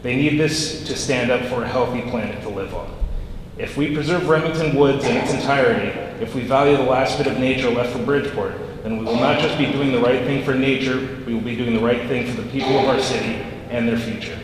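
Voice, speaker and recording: A man reading an earnest prepared statement at public comment. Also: mid-range voice. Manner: earnest, measured, read aloud. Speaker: resident speaking at public comment. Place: large council chamber. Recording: echoey hall with noise.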